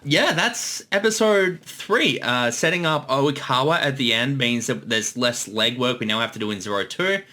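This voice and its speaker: A man's voice: medium pitch, expressive, moderate pace, hardly any pauses, bright, forceful tone. A man giving casual, informal director's commentary as a series creator, with some swearing.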